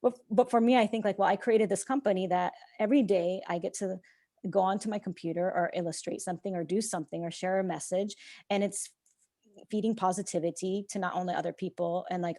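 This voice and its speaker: A young woman whose first language is Czech, chatting as a podcast guest in a rambling, animated way with frequent laughter. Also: fairly low pitch. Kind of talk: casual interview chat.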